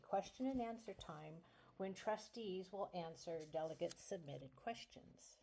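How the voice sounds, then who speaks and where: Soft-spoken woman presenting on a call. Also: lowish voice, vocal fry, slight background noise, low-quality recording. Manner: soft-spoken, a little flustered. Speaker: nominating committee chair. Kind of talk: presenting at an online meeting. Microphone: computer mic on a video call.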